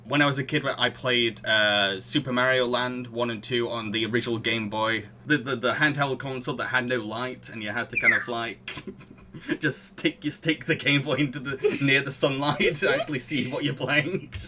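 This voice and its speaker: Guy hosting a chatty podcast, bright forward voice, chuckles a lot, slight background noise. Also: medium pitch, moderate pace. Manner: chuckles often while talking. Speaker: podcast host. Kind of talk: casual podcast discussion.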